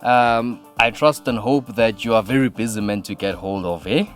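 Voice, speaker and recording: A man hosts a radio interview, his voice sitting a little low. He is friendly and full of praise and fills his sentences with "you know". Soft music plays faintly beneath him. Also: normal speed. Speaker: radio show host.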